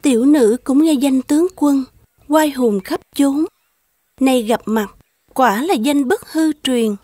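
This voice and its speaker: Demure young beauty, high-voiced. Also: female voice, takes it slowly, warm tone, no echo. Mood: shy, polite. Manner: demure, humble, polite. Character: gentle, modest, sorrowful young beauty, former courtesan. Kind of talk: animated fairy-tale film dubbing. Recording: music playing behind the voice.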